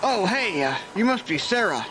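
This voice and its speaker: A man's voice: high-pitched, sing-song, normal speed, crowd noise, rough recording. A man playing a jaded, sarcastic senior nurse. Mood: weary sarcastic. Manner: sarcastic, grumbling. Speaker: nurse mentor. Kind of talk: audio drama acting.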